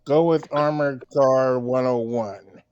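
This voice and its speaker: Muffled, lo-fi male voice, mid-pitched and unhurried, folksy blunt advice-giver. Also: steady tone, a little mellow, smooth, no echo. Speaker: meeting participant giving advice. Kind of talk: casual advice.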